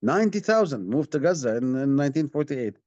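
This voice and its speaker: A Palestinian man with an Arabic accent, speaking as an activist in a live online question session, halting and often repeating his words. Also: mid-range voice, very animated, a little mellow, dry recording. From Palestinian West Bank. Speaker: activist, director of a community rights organisation. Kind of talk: live online talk answering audience questions. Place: online video call. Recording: dull, lo-fi online stream sound.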